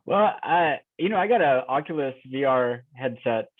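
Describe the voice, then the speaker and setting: A man's voice: mid-range voice, expressive, normal speed, no echo. Man on a tinny phone-like line. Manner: musing, rambling with many fillers. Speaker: interviewer. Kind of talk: casual conversational interview.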